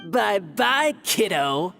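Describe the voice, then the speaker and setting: A woman voicing a cocky, mocking outlaw thief in an anime video game, condescending and creaky. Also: medium pitch, big pitch swings, drawn-out words, over music, exaggerated voice. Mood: taunting. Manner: smug, condescending.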